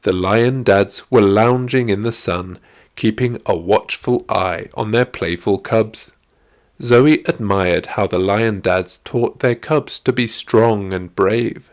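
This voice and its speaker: A man's voice: lowish voice, slow pace. A man reading a scripted children's story as an audiobook narrator.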